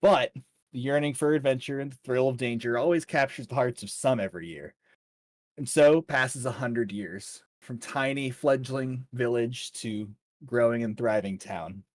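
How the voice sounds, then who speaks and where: A man's voice: medium pitch, measured pace, dry recording. Man running a tabletop game, dry close sound. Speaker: dungeon master. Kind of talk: narrating a tabletop fantasy game.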